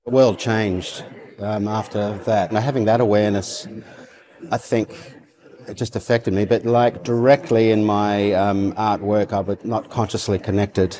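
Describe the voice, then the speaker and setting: Man in his fifties or sixties, soft dark timbre, fairly low pitch, halting reflective interview talk. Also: fairly even intonation. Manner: halting, searching for words. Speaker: interviewee, artist. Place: quiet indoor interview room.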